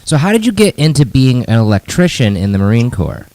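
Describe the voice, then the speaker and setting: A man's voice: mid-range voice, big pitch swings, a little fast, darkish tone, no echo. A man interviewing a guest as a podcast host in a casual, conversational style.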